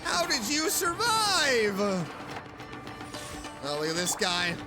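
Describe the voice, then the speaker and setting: A man playing a video game and chatting with excitable, spontaneous reactions as a vtuber. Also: extremely high pitch, slow pace. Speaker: vtuber gamer. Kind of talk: casual gameplay commentary. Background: game music.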